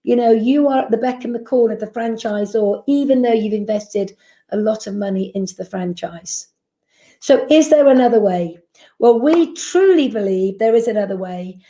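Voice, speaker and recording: Woman, business presenter, persuasive, mellow tone, echoey room. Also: fairly high pitch, animated, moderate pace. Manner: persuasive, rhetorical questions. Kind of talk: sales presentation.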